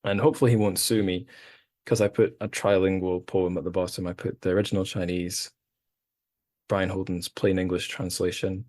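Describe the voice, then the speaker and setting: Deep-voiced man, dry close sound. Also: a little mellow, no room sound. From Scotland. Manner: rambling, self-deprecating, many restarts. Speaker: podcast host. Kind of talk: casual chat.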